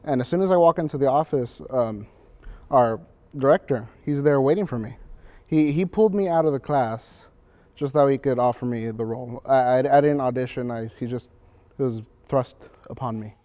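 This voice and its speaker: A man's voice: medium pitch, moderate pace, darkish tone. A teenage male student actor recounting things casually in an interview. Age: teens, high school student.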